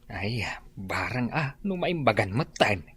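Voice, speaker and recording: An actor playing a worried husband and father in an Ilocano radio drama, with an Ilocano accent and a husky voice. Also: lowish voice, big pitch swings, slow, a bit bright, heavily acted. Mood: concerned.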